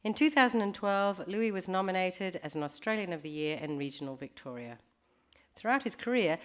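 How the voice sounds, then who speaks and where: A woman with a notably low voice introduces the next speaker at a conference. She reads formally from prepared notes. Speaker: event presenter introducing a speaker. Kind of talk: read introduction. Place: conference hall.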